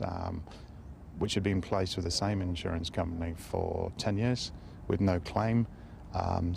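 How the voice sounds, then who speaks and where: An English man with a gravelly voice, a farmer giving his account in a news interview. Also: sits low, a little hiss or hum.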